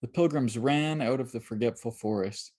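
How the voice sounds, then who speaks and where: An author reading a children's book aloud as scripted narration, in a dramatic, expressive storytelling manner. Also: medium pitch, moderate pace.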